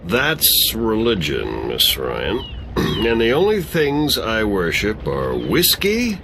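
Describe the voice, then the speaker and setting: A man's voice: on the low side, huge pitch swings, takes it slowly, noisy background, full character voice. An actor playing a sardonic middle-aged exile who laughs at his own jokes and loves whiskey.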